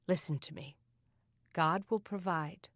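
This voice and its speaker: Woman voicing a foster father, steady, reassuring, low-ish mellow tone, dry close sound. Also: a little slow, no room sound. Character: kind, firm middle-aged foster father.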